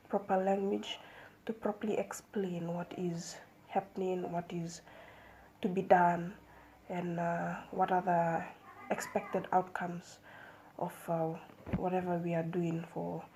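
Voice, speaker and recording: A young woman giving a seminar presentation as a student, measured and hesitant, with fillers and restarts. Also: on the low side, flat delivery, warm tone, a little hiss or hum. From Fiji.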